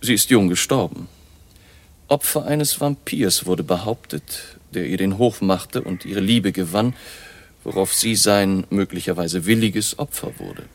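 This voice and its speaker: Creaky, learned vampire-hunting baron. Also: male voice, low voice. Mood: grave, narrating. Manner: measured, scholarly, ominous. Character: baron, eerie learned vampire researcher, grave and deliberate. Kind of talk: radio drama acting.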